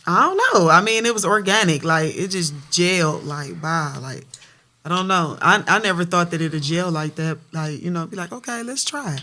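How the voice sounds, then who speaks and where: A man's voice: sits high, normal speed, pushed and bright. A man, a rapper from Detroit, answering interview questions loosely, with many fillers. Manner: loose, laughing, lots of fillers. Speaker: rapper guest.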